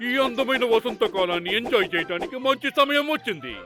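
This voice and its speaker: A man voicing an excitable, self-important forest animal leader in a children's cartoon, theatrical and pompous. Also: very high-pitched, quick pace, music in the background. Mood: delighted, grand. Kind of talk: dubbed children's cartoon acting.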